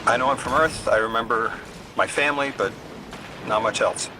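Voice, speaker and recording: Over a clear music bed comes a man acting as an earnest, bookish translator in a video game. He is halting and easily flustered, repeating himself and apologizing as he explains, in a mid-range voice. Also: medium pitch, natural voice, rough recording. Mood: subdued, thoughtful. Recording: music behind the voice.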